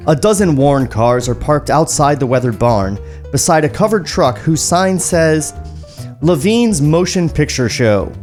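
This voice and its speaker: A man's voice: fairly high pitch, lively intonation, measured pace, a little mellow, soft background music. An American man co-hosting a podcast, chatting casually with some swearing and reading a script aloud.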